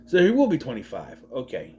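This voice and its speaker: A man's voice: medium pitch, wildly swooping pitch, brisk, darkish tone, a little hiss or hum. A man in his late thirties giving a casual movie review, rambling, swearing freely and wandering off on tangents. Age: 30s.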